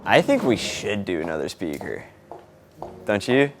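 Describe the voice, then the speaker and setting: A man's voice: on the low side, wildly swooping pitch, unhurried, slight background noise. A laid-back man chatting casually and informally as a sound engineer vlogging his setup and testing microphones. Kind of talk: casual vlog chat with mic checks.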